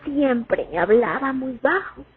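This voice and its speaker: A haunted young female sniper played by a woman recalls the war in a radio drama. Her delivery is slow and dramatic, swinging widely in pitch. The recording is thin and phone-like, with music underneath. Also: expressive. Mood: grieving, tense.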